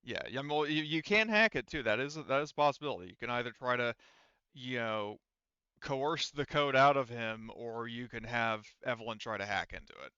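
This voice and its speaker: A man running a tabletop roleplaying game as game master, explaining things casually and haltingly with frequent restarts. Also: mid-range voice, bright, forceful tone, smooth, no room sound, lo-fi sound.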